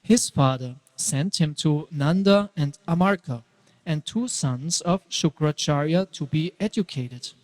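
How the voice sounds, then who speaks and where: A man's voice: a little high, animated, a little mellow. Male narrator in a reverberant hall. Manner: measured, storytelling. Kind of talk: scripted dramatic scripture narration.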